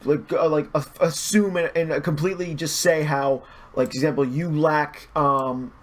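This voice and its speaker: A man chatting casually as a podcast guest, explaining things haltingly and rephrasing as he goes. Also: fairly high pitch, steady tone.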